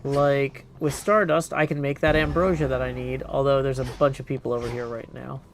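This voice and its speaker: A man's voice: mid-range voice, fairly even intonation, a little fast, slight background noise. A man doing casual gaming commentary as a live streamer, thinking aloud playfully and reading chat.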